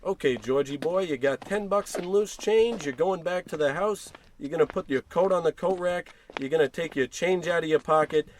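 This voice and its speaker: Blustering working-class husband played by a man, slightly high pitch, money-worried and irritable, old-time radio comedy delivery. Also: normal speed, hardly any pauses, background noise, close to an everyday voice. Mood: gruff, impatient. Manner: blustering, sarcastic, grumbling. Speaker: actor in scripted comedy podcast.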